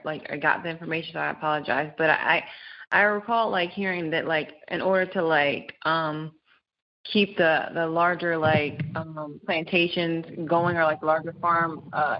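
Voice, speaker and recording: Deep-voiced woman, flat and muffled. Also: slight background noise. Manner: informal, rambling. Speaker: discussion participant. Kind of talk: casual discussion, recounting.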